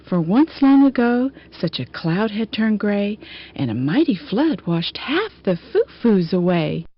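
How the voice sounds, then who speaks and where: Lively woman reading a children's story, slightly muffled. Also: mid-range voice, measured pace. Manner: expressive, storytelling delivery. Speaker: children's book narrator. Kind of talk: rhyming picture-book read-aloud. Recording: dull, lo-fi audio.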